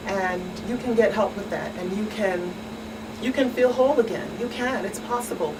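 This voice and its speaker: A woman speaking as a talk show panelist in an earnest, deliberate, emphatic and compassionate way. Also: medium pitch. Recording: distant mic, loud air-conditioning noise.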